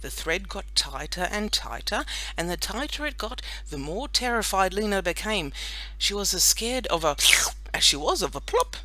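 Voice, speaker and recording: A woman narrating a children's audiobook in an expressive storytelling style. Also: mid-range voice, moderate pace, poor audio.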